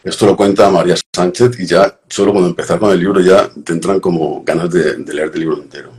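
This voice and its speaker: Male host with a very low voice, calm and inquisitive in conversation. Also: fast talker. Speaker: host, interviewer. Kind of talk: conversational interview.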